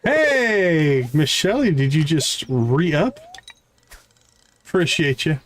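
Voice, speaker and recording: A man's voice: on the high side, animated, takes it slowly. Casual man streaming a game. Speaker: live streamer playing a farming game. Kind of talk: casual live game commentary, chatting with viewers.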